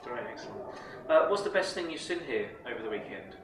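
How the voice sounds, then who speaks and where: A man interviewing a guest at a drum fair, quick and upbeat, with short acknowledgements between the questions. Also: mid-range voice, animated, distant mic. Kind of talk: event interview. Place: busy drum fair hall. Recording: echoey hall, crowd noise.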